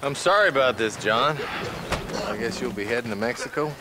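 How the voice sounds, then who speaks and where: An actor playing a weary frontier marshal, low-key yet authoritative, in a video game. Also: male voice, animated, a little fast, over a noisy crowd, lightly acted. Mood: apologetic. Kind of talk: video game cutscene acting.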